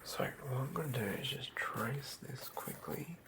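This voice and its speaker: ASMR creator, whispered and gravelly, hesitant unscripted murmuring, with varied intonation in the voiced bits. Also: mid-range voice, animated, unhurried. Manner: hushed, hesitant, murmuring to self. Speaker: ASMR creator designing a tabletop game monster. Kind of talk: whispered ASMR, unscripted thinking aloud while drawing.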